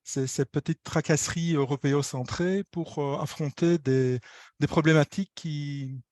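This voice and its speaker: A man presents academic slides in a fairly high voice. His delivery is hesitant, with repeated words as he searches for phrasing. The sound is close and dry, over a video call. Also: steady tone, moderate pace, a little mellow, dry recording. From Belgium. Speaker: architecture lecturer and researcher presenting.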